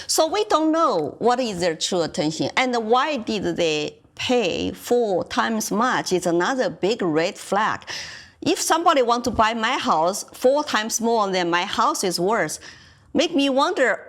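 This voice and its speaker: A woman's voice: on the high side, big pitch swings, unhurried. A woman with a Mandarin Chinese accent, a guest on a local TV talk show, making her case earnestly and asking rhetorical questions. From China. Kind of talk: political talk show discussion. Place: local community TV studio.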